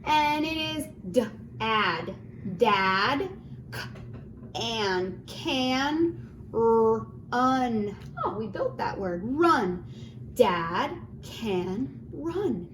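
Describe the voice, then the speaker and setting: A woman with a fairly high, very lively voice gives a phonics lesson to young children. She has a sing-song teacher's delivery, sounding words out and putting questions straight to her listeners. The room echoes and there is noticeable noise behind her. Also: drawn-out words. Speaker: early reading teacher. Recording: roomy echo, clear background noise.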